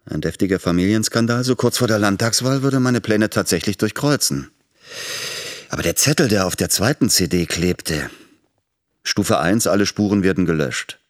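An actor playing an aggrieved, calculating middle-aged politician and father in a radio drama, formal and rhetorical. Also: low voice. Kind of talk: radio drama inner monologue.

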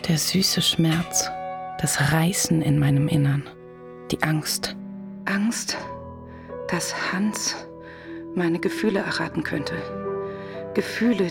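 An actress playing a troubled, secretive married woman in a German radio drama, speaking in a hushed, introspective way. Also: fairly low pitch, creaky. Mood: anguished longing. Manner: slow, introspective. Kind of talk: radio drama inner monologue and dialogue. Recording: music under the voice.

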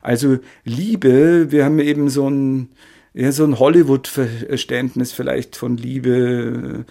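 A man's voice: mid-range voice, steady tone, slow pace, creaky, dark tone. A man, a researcher, explaining off the cuff in an interview and searching for his words. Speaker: literature researcher and translator, interviewee.

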